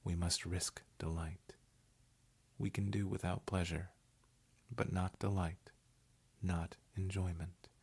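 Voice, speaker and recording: A man voice-over artist giving a measured, unhurried, hushed reading of essay and poetry. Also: low-pitched, little pitch movement, breathy edge. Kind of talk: scripted narration of essay and poetry.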